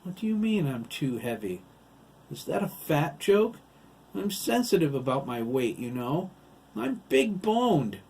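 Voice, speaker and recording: A man's voice: fairly high pitch, big pitch swings, measured pace, vocal fry, darkish tone, voice pushed up, heavily acted. A man voicing a sneering, gloating giant monster villain in a storybook narration. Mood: indignant. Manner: gloating, taunting.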